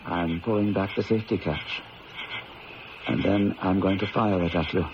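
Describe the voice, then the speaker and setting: An actor playing a ruthless male diamond thief in a 1970s radio drama, speaking in a calm, measured, quietly menacing way. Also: deep voice. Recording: lo-fi old radio broadcast with hiss.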